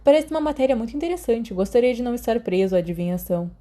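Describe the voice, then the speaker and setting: A woman's voice: fairly high pitch, lively intonation, racing, warm tone, pitched above the performer's own voice, lightly acted. A Brazilian woman voicing a cocky, theatrical teenage boy in a storybook reading. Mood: imperious.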